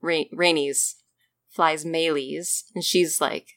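Chatty woman, slightly low voice. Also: fairly even intonation, slow pace, fairly bright tone. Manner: chatty, laughs often, trails off mid-sentence. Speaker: podcast co-host. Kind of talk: casual book discussion.

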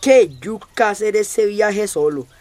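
A man's voice: sits high, slow pace, a little mellow, no echo. An actor playing a cocky, teasing, slangy young man in a radio drama.

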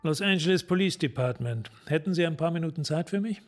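Male police sergeant by an actor, radio crime drama, lighter pitch, blunt, clipped, hard-boiled. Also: expressive, a little fast, faint music behind, natural voice. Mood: coolly probing. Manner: terse, direct, clipped sentences.

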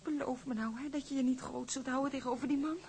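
An actress playing a young sailor's wife who is worried and sharp-tongued, in a radio play. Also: female voice, high voice, fairly even intonation, fast, a little hiss or hum. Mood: pleading. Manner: pleading and scolding, emotional.

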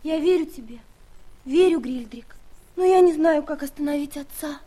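Woman acting kind giant girl, very high voice, tender, anxious, fairy-tale audio drama. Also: moderate pace, dark tone, lightly acted. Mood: tender, worried.

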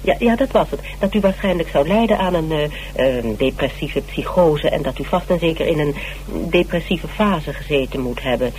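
Man playing eager, higher-voiced academic. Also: expressive, moderate pace, hardly any pauses, a little mellow, natural voice, poor audio. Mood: excited, gossipy. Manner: eager, hesitant, repeating himself. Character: eager, fawning male criminology lecturer. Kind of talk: radio drama acting. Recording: hissy old radio recording.

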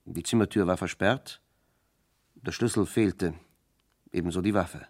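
A man's voice: sits low, a little slow. A man reading scripted narration for a radio crime play in a sober, factual manner.

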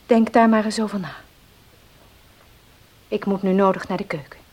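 An actress in a Dutch radio play playing a devout, gentle farmer's wife, soft-spoken and earnest. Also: female voice, mid-range voice, fairly even intonation, moderate pace, lightly acted. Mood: solemn, admonishing.

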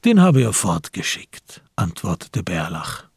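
A man reading scripted literary narration as the narrator of a radio play, with a husky voice. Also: low-pitched, very animated, unhurried, no room sound, studio quality.

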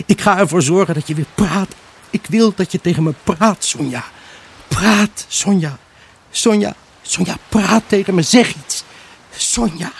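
An actor playing a lonely, grieving husband in an intimate radio drama monologue, brooding, halting and emotionally raw. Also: male voice, fairly high pitch, husky, exaggerated voice. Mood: desperate, pleading. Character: grieving, haunted husband, lonely, obsessive and pleading. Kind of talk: Dutch radio drama monologue, intimate first-person narration.

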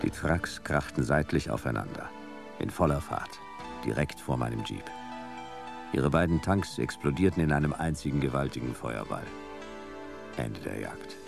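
An actor playing a laconic, hard-boiled future private detective, speaking in terse sentences in a noir radio play. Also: male voice, very deep voice, lively intonation, normal speed, creaky, over music, exaggerated voice. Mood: terse, brooding. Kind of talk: noir first-person narration and radio play acting.

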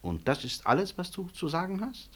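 An actor playing a proud, principled senior physician in a radio drama, blunt and dryly ironic. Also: male voice, high-pitched, big pitch swings, fairly quick, breathy edge, exaggerated voice. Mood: indignant, scornful. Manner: blunt, incisive, sardonic. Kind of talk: 1960s German radio drama acting.

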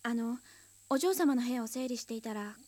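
An actress playing a deferential household servant who speaks hesitantly and humbly, in a radio drama. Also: female voice, on the high side, normal speed, a little mellow, no echo, close to an everyday voice. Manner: hesitant, humble formal speech.

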